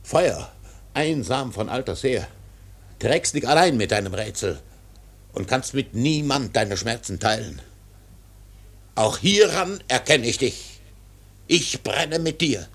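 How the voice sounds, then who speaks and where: An actor playing an obsessed, defiant old whaling captain in a radio drama, solemn and declamatory, with a gravelly, husky voice. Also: male voice, medium pitch, expressive, slow pace, long pauses, a bit bright, heavily acted. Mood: fierce, exalted. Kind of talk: literary radio drama monologue.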